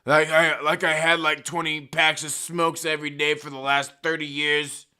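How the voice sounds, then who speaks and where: Man, hoarse, joking, profane live-stream chatter, bright tense tone. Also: on the high side, level tone, moderate pace. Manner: profane, joking, rambling, reads chat aloud. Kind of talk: casual live stream chat.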